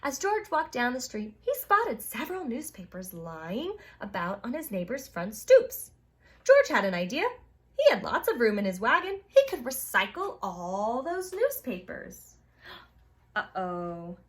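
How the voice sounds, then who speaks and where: Female storytime reader, high voice, very animated delivery, speaks directly to kids and reacts as she reads. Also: measured pace. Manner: expressive, addresses children, exclaims and reacts to the story. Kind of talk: read-aloud picture book narration with asides to young listeners.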